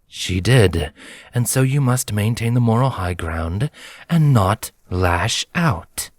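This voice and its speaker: A smooth, silvery pony officer as a man narrating puts him on. He talks in a measured, placating way with patient, condescending calm, like a storybook commander. The sound is dry and close. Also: fairly low pitch, dry recording. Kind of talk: storybook character voice in fan fiction reading.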